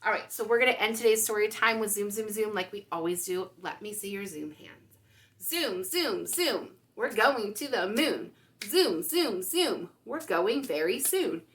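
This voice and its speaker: A woman reading aloud and talking to young children as a storytime host, with expressive, simple phrasing. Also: mid-range voice, unhurried. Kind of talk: picture-book read-aloud for young children.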